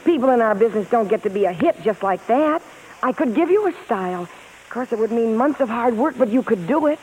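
A woman's voice: a little high, lively intonation, a little fast, darkish tone, lightly acted. A woman playing a devoted, encouraging stage-singer wife, coaxing and spirited, with an American accent in 1950s radio drama style. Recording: hissy, crackly old radio broadcast.

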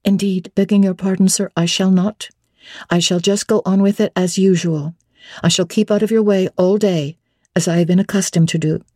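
A woman's voice: mid-range voice, fairly even intonation, normal speed, warm tone, no echo, clean studio sound, natural voice. A woman voicing a stubborn young governess in a classic novel, prim and formally polite but firmly defiant. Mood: polite defiance.

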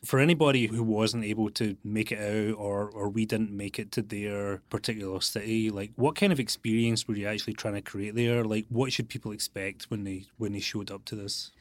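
A man's voice: on the low side, steady tone, brisk, few pauses, no room sound. A man hosting a podcast, asking long, loose questions peppered with "like" and "you know". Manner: rambling questions full of likes and you-knows. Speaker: podcast host. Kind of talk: casual interview questions.

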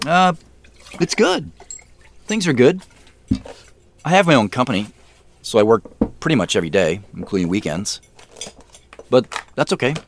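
A man's voice: medium pitch, very animated, moderate pace, long pauses, slight background noise. An actor playing an awkward, modest businessman in his late thirties in an audio drama, hesitant and self-deprecating. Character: awkward, modest late-thirties man running a tech company. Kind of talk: audio drama dinner dialogue.

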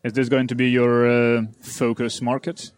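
A man interviewing a founder, asking questions in a hesitant way with frequent pauses and restarts. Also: mid-range voice, completely flat delivery, clean tone, no echo. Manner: hesitant, many fillers and restarts.

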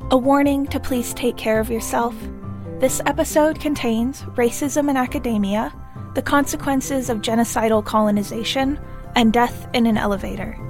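A woman's voice: fairly high pitch, normal speed, faint music behind. A woman announcer reading a scripted content advisory.

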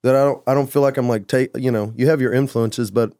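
A man's voice: mid-range voice, fairly even intonation, quick pace, dark tone, dry recording. Rambling musician man, dry sound. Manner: rambling, loose, full of "like" and "you know". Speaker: musician guest. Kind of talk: casual conversation.

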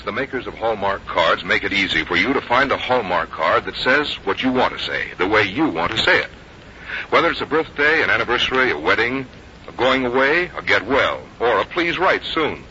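An American man working as a radio announcer, reading scripted 1950s copy in a polished, measured way. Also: on the low side, big pitch swings, sharp tone, slight background noise. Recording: dull vintage radio recording with music.

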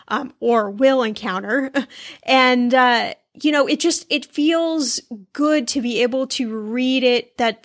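A woman hosting a podcast in a casual interview conversation, affirming and conversational. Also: fairly high pitch. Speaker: podcast host.

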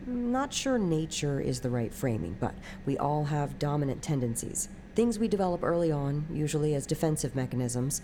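An actress playing a calm female therapist who questions gently in a measured, clinical way, in a naturalistic audio drama. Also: deep voice, slight background noise, poor audio. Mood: calm, probing.

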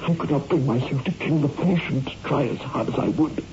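An actor playing a tormented, brooding surgeon with agitated, melodramatic delivery in a 1960s radio horror drama. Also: male voice, mid-range voice, a little fast, lightly acted. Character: tormented male surgeon obsessed with death at his hands. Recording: muffled, noisy old radio recording.

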